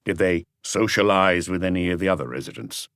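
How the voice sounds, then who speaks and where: A man voicing a male chief inspector in an audiobook, terse and deliberate as he puts probing questions. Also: low-pitched, animated, a bit bright, no echo, studio quality. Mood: measured, probing. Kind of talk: audiobook character dialogue.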